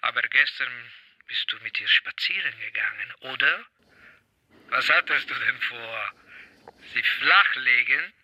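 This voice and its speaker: A man's voice: medium pitch, a little slow, pushed and bright, a little hiss or hum, poor audio. An actor playing a menacing male mafia thug in a radio drama, taunting and vulgar.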